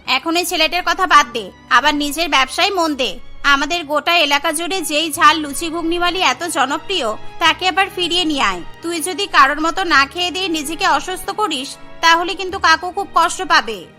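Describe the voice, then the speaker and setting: Woman playing a young cartoon girlfriend, scolding, encouraging, very high, bright. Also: a little fast, faint music behind. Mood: firm advice. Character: young female friend, practical, scolding, encouraging.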